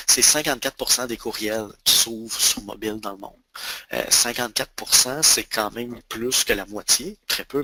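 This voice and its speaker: Bright-voiced Quebec French man presenting. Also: medium pitch, dry recording. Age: 30s. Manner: hesitant, many fillers and restarts. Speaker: email marketing expert. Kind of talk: webinar presentation.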